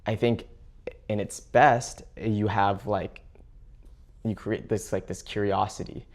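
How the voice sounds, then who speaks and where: Low gravelly young man. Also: fairly even intonation. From Seattle area, United States. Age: young adult. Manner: halting, many fillers and restarts. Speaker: interview guest. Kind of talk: casual conversation.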